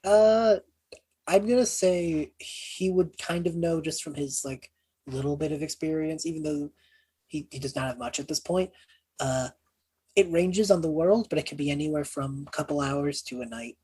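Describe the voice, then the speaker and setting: A man playing a tabletop roleplaying game, improvising casually in character with hesitant, halting explanations. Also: a little high, warm tone, breathy edge.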